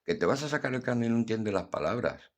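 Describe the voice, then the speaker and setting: A man's voice: mid-range voice, animated, quick pace. An older man, talking bluntly and earnestly about acceptance in a casual philosophical monologue. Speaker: podcast host.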